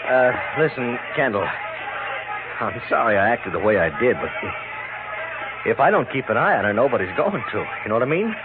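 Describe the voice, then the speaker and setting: A man's voice: mid-range voice, lively intonation, brisk, pauses often, natural voice. A man playing a jealous, controlling business manager with a pushy, folksy way of talking, in a 1950s radio western. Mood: placating, evasive. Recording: noisy, narrow-band 1950s radio recording.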